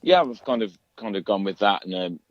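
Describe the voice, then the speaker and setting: A singer-songwriter guest in a radio interview, talking in a relaxed, chatty way with lots of fillers and restarts in his low voice. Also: fairly quick, dry recording, highs cut off. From England.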